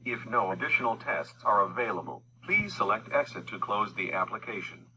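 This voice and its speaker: A man's synthetic read-aloud voice from testing software, reading instructions and questions in a flat, clipped way. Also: on the low side, fairly quick, muffled recording, slight background noise. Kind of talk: synthetic screen reader narration.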